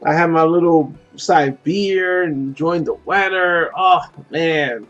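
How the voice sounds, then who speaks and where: A man's voice: fairly high pitch, slow, a bit bright, lo-fi sound. A man, chatting casually and cursing while streaming a game. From Puerto Rico. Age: 30s. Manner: laid-back, profane, laughing easily. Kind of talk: casual chat while gaming. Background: faint game music.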